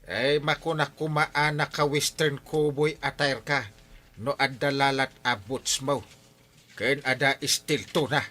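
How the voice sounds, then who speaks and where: An actor with an Ilocano accent playing a teasing village drinking buddy in an audio drama. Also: male voice, medium pitch, fairly even intonation, measured pace, pushed and bright.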